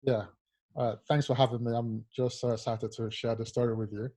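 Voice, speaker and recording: A young man from Nigeria, a rower, telling his life story in an interview in a soft, halting way with many fillers. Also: medium pitch, level tone. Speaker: Paralympic rower, guest. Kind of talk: casual interview, personal story.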